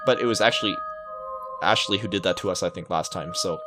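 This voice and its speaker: A man's voice: low-pitched, quick pace. A man hosting a let's play, reading a visual novel aloud and adding casual commentary, with music underneath.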